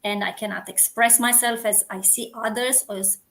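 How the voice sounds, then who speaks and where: A woman's voice: fairly high pitch, steady tone, moderate pace, a bit bright. A woman from Romania with a Romanian accent, a community founder chatting earnestly as a guest and often restarting as she hunts for words. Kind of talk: casual interview chat.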